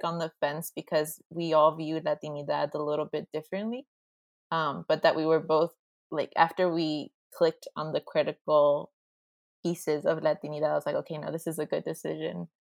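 Low creaky-voiced woman from Argentina. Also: level tone, moderate pace, no room sound. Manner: chatty, lots of fillers. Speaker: guest. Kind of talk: casual conversation.

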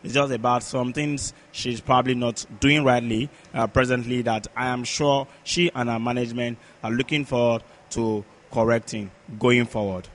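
A man giving conversational sports analysis as a podcast pundit, halting and repetitive as he searches for words. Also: mid-range voice, fairly even intonation, a little hiss or hum.

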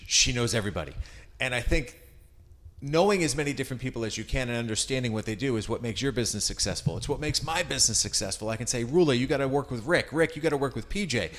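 A man's voice: medium pitch, expressive, fast talker, a bit bright. A middle-aged man with an American accent, interviewing a guest in a chatty, informal and complimentary way. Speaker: interviewer, host. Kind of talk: casual conversational interview.

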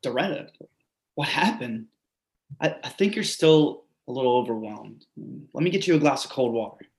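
A man playing a quiet, simple middle-aged man who is patient and reassuring in a play reading. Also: medium pitch, animated, moderate pace, natural voice. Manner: gentle, reassuring.

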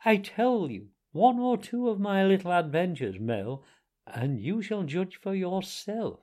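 Boastful storybook toad, high excitable pitch, swooping delivery, theatrical, self-important. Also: male voice, a little slow. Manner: bragging, mock-modest, dramatic.

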